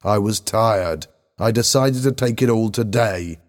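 A man's voice: mid-range voice, very animated, moderate pace, a little mellow, husky. A man voicing an irritable, accusing teenage boy in a story, curt and demanding. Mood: cold.

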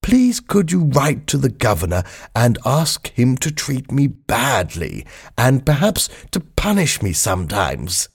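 A man's voice: mid-range voice, wildly swooping pitch, measured pace, vocal fry, hardly any pauses, breathy edge, voice pushed up, exaggerated voice. A man reading aloud, voicing a polite, mild middle-aged man in prison, earnest and plaintive.

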